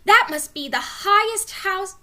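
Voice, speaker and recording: Storybook girl, played by a woman reader: young, bold, excitable, high voice with big swoops. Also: measured pace, exaggerated voice. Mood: eager. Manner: exclaiming, urgent calls. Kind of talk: children's storybook read-aloud.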